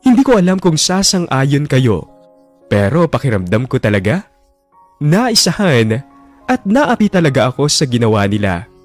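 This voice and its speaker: A man's voice: mid-range voice, big pitch swings, moderate pace, faint music behind, natural voice. An actor narrating as a heartbroken young man, brooding and wounded over a lost love, in a radio drama. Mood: bitter. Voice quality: brooding, wounded inner monologue.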